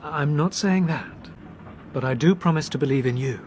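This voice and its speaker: An actor playing a brisk young Englishman who reasons deliberately and articulately, in a British radio drama. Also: fairly high pitch, a little mellow, close to an everyday voice. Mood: methodical, sceptical. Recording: noisy train background ambience.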